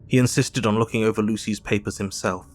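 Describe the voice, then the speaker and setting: An actor with an English accent playing an earnest, grieving Victorian doctor, narrating his diary in measured, formal speech for an audio drama. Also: lowish voice, steady tone, slight background noise, lightly acted. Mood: sober, measured.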